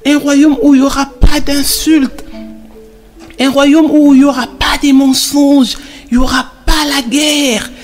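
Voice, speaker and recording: A woman's voice: high-pitched, little pitch movement, measured pace. Encouraging mother teaching, a woman acting, over music. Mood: emphatic, hopeful. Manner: didactic, encouraging, repeats phrases for emphasis. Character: gentle, devout queen mother teaching her children. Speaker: mother teaching children about the Bible. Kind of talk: religious children's cartoon dialogue.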